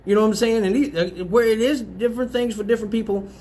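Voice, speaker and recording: A man's voice: high-pitched, fairly even intonation, fast, darkish tone, a little hiss or hum. A man hosting a live advice show, talking bluntly and profanely, laughing often and repeating himself as he goes. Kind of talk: crude casual advice talk.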